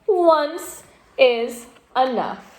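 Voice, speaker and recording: A woman's voice: sits high, lively intonation, very slow, darkish tone, exaggerated voice. A woman doing a tired, plaintive little girl. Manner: pleading and plaintive. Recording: echoey room.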